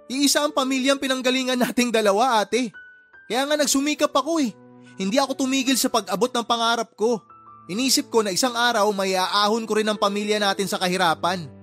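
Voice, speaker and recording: A man's voice: very high voice, brisk, soft background music. An actor playing a fed-up, hardworking man who indignantly scolds his older sister in a radio drama. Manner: indignant, forceful, emotionally confrontational.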